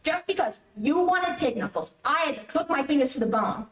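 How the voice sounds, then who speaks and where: Echoey, high-voiced nagging radio wife, acted by a woman. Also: animated, fairly quick, a bit bright. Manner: whiny, reproachful. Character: nagging, self-pitying wife in a bickering comedy marriage. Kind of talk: old-time radio comedy acting. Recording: echoey, distant streamed stage recording.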